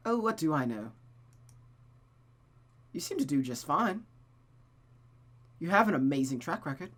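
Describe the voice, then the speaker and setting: A reader voicing a proud young noblewoman musician, sharp-tongued and formally polite, in a visual novel read aloud. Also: medium pitch, sing-song, unhurried. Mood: sardonic.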